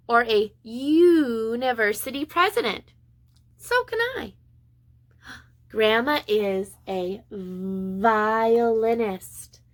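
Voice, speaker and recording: A woman preschool teacher reading a picture book aloud to young children in an expressive, sing-song way with deliberate pauses. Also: fairly high pitch.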